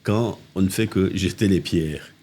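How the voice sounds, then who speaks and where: An older man from Congo, a mayor telling animated anecdotes in a casual interview, with restarts and asides, recorded on a living-room podcast set. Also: sits low, a little slow, breathy edge. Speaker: mayor, political guest.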